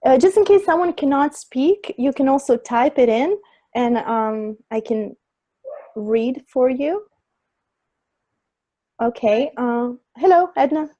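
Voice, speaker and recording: A woman's voice: high voice, animated, takes it slowly, pauses often, warm tone. A woman hosting a webinar on a video call, politely calling on each guest. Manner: polite, apologetic about names. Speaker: webinar host.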